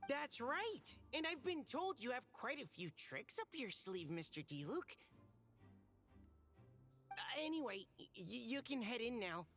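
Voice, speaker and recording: A woman's voice: fairly high pitch, big pitch swings, a little slow, a bit bright, faint music behind, heavily acted. A woman voicing a nervous, earnest young male soldier in a video game, hesitant and prone to stammering. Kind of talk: video game character acting.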